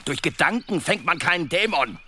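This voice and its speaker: An actor playing a stubborn, impatient blacksmith in a period radio drama, talking bluntly. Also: male voice, on the high side, lively intonation, moderate pace, bright, forceful tone, a little hiss or hum. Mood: gruff, insistent.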